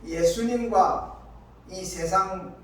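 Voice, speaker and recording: Echoey, high-voiced male pastor preaching. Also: drawn-out words, fairly bright tone. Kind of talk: scripture reading and sermon. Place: church hall. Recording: echoey church, distant mic, faint noise.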